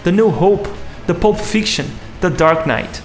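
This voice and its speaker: Man, high pitch, video essay host, narration over music. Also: expressive, measured pace.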